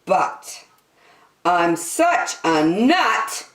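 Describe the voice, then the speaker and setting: A woman hosting a children's story time, reading a picture book in an animated, sing-song way. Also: mid-range voice. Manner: slow, animated delivery addressed to young children. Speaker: children's storytime host and reader. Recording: roomy echo, distant microphone.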